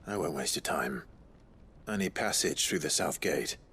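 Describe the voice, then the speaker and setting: An actor in a cinematic video game playing a stoic, determined traveller, blunt, measured and level-headed. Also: male voice, very deep voice, lively intonation, bright, forceful tone, a little hiss or hum, exaggerated voice. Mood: firm.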